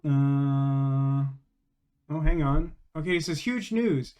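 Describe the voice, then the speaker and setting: A man's voice: a little high, animated, takes it slowly, warm tone, smooth. A man hosting a gaming livestream, chatting casually to viewers and thinking aloud in a rambling way. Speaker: livestream host, gamer.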